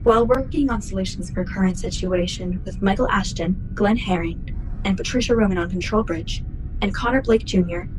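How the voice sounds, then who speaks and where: A woman playing a calm, obedient spaceship computer in a sci-fi radio play, speaking formally, precisely and in measured phrases. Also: medium pitch, noisy background, lightly acted. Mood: formal log.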